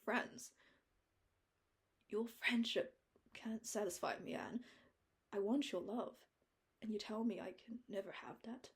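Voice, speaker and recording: A woman reading an audiobook voices an earnest young man, speaking quietly and low. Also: steady tone, slow pace, pauses often, husky. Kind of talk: audiobook character voice.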